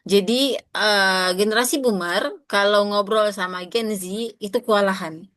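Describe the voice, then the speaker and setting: A woman's voice: mid-range voice, a little slow, fairly bright tone, no echo, studio quality. A woman in her thirties with an Indonesian accent, giving an interactive lecture to teachers, often addressing them directly and asking questions, with frequent fillers.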